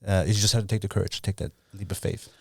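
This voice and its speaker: Fast-talking chatty man in his thirties. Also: lowish voice, fairly even intonation, creaky, no room sound, clean studio sound. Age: 30s. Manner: upbeat, chatty, says "you know" and "dude" a lot. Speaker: podcast co-host. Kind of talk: casual motivational chat.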